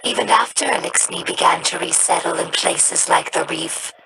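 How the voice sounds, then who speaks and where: A woman voicing a young female alien scholar who speaks earnestly and with measured care, in game voice lines. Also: on the low side, vocal fry, background noise. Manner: slow, measured, thoughtful delivery.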